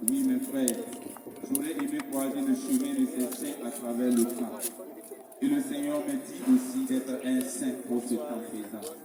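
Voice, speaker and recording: A man reciting devotional poetry in a solemn, measured, rhetorical way. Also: mid-range voice, level tone, a crowd in the background. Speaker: poet reciting his own religious poem. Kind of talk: declaimed French spoken-word poetry with a sung opening hymn. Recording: very echoey hall, distant, music behind. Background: music.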